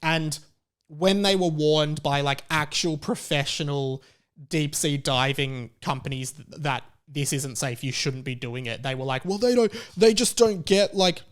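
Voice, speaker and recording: A man's voice: a little high, unhurried, clean tone. A man hosting a podcast, giving casual opinion commentary in a conversational, rambling and emphatic way. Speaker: podcast host.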